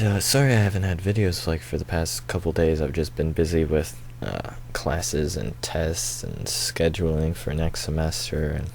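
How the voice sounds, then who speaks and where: A man's voice: sits low, gravelly, a little mellow, slight background noise. A young man hosting a gaming video with casual, informal, rambling commentary. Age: young adult. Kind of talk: casual let's play commentary.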